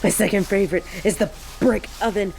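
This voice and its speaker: A young woman playing an excitable, sassy biker and machine nerd on a date in a romantic audio roleplay. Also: a little fast, creaky, close to an everyday voice. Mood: gushing, excited. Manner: gushing and teasing, swinging between squeals and low deadpan. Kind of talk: romantic audio roleplay, scripted monologue to an unseen listener.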